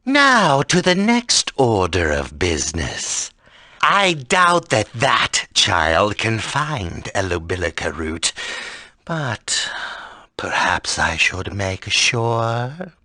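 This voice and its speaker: An actor voicing a cold, scheming, haughty villain in a video game, with deliberate menace. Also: male voice, fairly low pitch, wildly swooping pitch, pushed and bright, no room sound, studio quality, full character voice.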